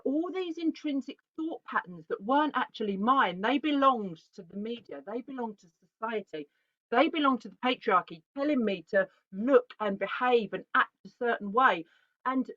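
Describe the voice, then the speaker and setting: A woman, giving a chatty, animated presentation that often breaks off and restarts itself. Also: fairly high pitch, moderate pace, no echo. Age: 40s. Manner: chatty, animated, self-interrupting. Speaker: artist giving a talk. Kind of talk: casual conference presentation. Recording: dull, lo-fi video call audio.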